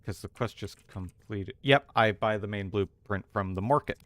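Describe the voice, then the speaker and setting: A man's voice: on the low side, moderate pace, a bit bright. A man giving casual, playful gameplay commentary as a streamer. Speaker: game streamer.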